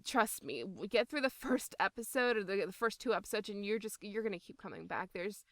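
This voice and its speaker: A woman's voice: medium pitch, lively intonation, a little fast, a bit bright, no echo. Young woman, enthusiastic and emphatic, dry close sound. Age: young adult. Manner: enthusiastic, emphatic, laughing as she talks. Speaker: voice actor interviewee. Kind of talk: casual interview chat.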